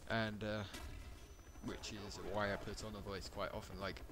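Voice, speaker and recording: A man's voice: little pitch movement, normal speed, crowd noise. A man chatting casually about gaming commentary, rambling and correcting himself as he goes. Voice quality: says he has a high voice.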